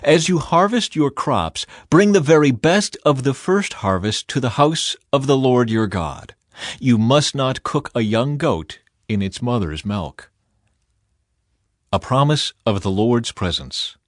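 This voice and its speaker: A man reading scripture as a scripted audiobook narration, measured, solemn and clearly articulated. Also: mid-range voice, expressive, no room sound, clean studio sound. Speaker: audiobook Bible reader.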